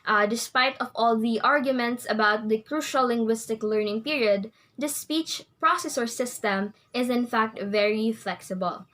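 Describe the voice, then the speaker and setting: A woman's voice: on the high side, moderate pace, few pauses, fairly bright tone. A young woman reading her part of a class report from prepared text. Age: young adult. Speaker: student presenter.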